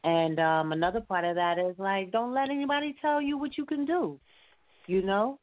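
A woman's voice: mid-range voice, normal speed, no room sound. A woman talking casually and colloquially as an interviewed singer, with an encouraging, emphatic way of speaking. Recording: muffled phone-like line.

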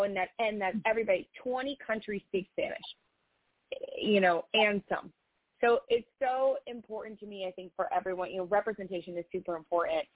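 A woman's voice: mid-range voice, no echo. Earnest woman on a tinny line. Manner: earnest, heartfelt, many "you know" fillers. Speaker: singer-songwriter guest. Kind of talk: casual interview chat. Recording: tinny phone-like line, dry.

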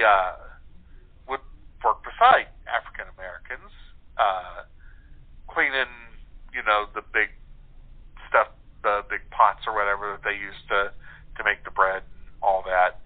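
A man talks over a thin, narrow telephone connection at a middling pitch. He is a guest in a casual interview, explaining things in an enthusiastic, conversational way. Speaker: guest playwright. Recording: muffled narrow phone line.

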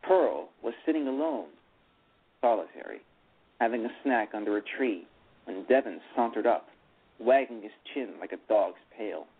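A man's voice: lowish voice, expressive, normal speed, husky. A man narrating a novel aloud on a radio play, reading steadily and often correcting himself. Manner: reads steadily, with frequent self-corrections. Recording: tinny phone-line radio.

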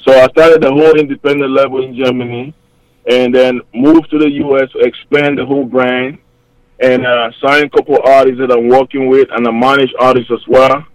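A man's voice: mid-range voice, little pitch movement, no echo, low-quality recording. A man with a Cameroonian accent, a hip-hop artist talking as a guest on an interview show, upbeat and rambling with plenty of fillers. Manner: upbeat, talks in quick rambling phrases with many fillers. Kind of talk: casual interview over a remote line.

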